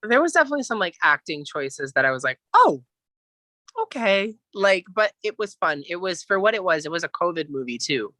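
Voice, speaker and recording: A man with a bright, forward voice talks casually as a podcast guest. He is opinionated and emphatic, very informal, and recorded close and dry. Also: high voice, big pitch swings, fairly quick, no echo. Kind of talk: casual chat.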